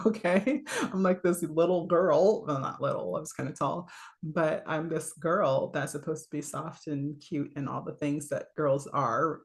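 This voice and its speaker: A woman coach with a low voice, giving a casual, chatty talk full of fillers, little laughs and "right?". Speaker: voice and leadership coach.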